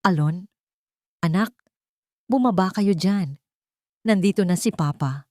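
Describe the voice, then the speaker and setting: A woman's voice: on the low side, a little slow, dark tone, dry recording, clean studio sound, pitched above the performer's own voice, lightly acted. A woman voicing an anguished, remorseful father in an audiobook drama, pleading. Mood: tearful pleading. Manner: pleading, emotional.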